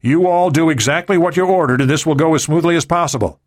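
A man's voice: a little high, quick pace, voice pushed up, close to an everyday voice. A man doing a curt mercenary commander. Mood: commanding. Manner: clipped, commanding orders.

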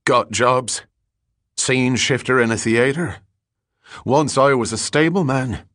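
A man's voice: medium pitch, slow pace, fairly bright tone, no echo, studio quality, voice pushed up. A man voicing a ragged, dazed drifter in an audiobook story, bitter and rambling, with repetitive, slangy street talk. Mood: wistful rambling.

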